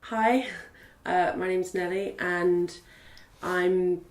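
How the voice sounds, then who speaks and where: A woman's voice: fairly low pitch, fairly even intonation, drawn-out words. Chatty young woman, roomy distant sound. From Zimbabwe. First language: Portuguese. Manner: chatty, lots of fillers and restarts. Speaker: interviewee. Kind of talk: casual interview.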